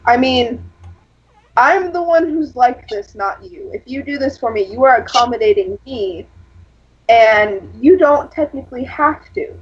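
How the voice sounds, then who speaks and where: A woman with a husky, fairly low voice chatting casually, rambling through personal stories with lots of fillers. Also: animated, unhurried. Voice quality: husky, lower than average for a woman. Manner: rambling, storytelling with frequent fillers. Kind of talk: casual chat. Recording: lo-fi dull sound.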